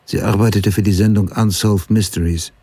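Sober crime writer, radio drama narration, slightly husky, dry close sound, matter-of-fact. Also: male voice, fairly low pitch, steady tone, normal speed, dark tone, no room sound. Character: adult male crime writer recounting his past, sober and hard-boiled.

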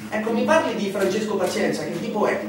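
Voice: mid-range voice, fast talker, background noise, lightly acted. An actor playing a persistent veteran journalist in a theatrical interview, pressing with clipped questions. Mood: probing. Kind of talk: theatrical stage interview dialogue. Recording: very echoey, distant, music under the voice.

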